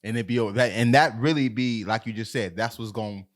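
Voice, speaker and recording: A man's voice: mid-range voice, a little fast. A man hosting a casual podcast chat, talking in loose slang and jokingly acting out his points. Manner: slangy, joking, animated retelling. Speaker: podcast host.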